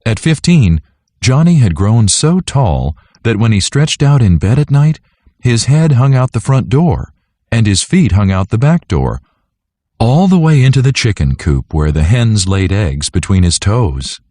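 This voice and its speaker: A man with a mellow, low voice reads a children's story aloud. His delivery is animated and scripted, in a close, dry sound. He narrates clearly as a storyteller for young listeners. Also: a little slow, dry recording.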